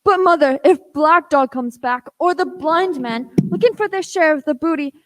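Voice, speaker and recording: A woman's voice: high voice, normal speed, close to an everyday voice. A woman playing a timid, earnest young boy, anxious and dutiful, in a radio play. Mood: alarmed.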